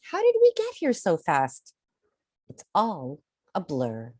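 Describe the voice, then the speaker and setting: Very animated woman reading a picture book. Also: fairly low pitch, dry recording, clean studio sound. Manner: animated, theatrical, deliberate, drawing out key words. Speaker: children's picture book reader. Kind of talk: expressive read-aloud storytelling for children.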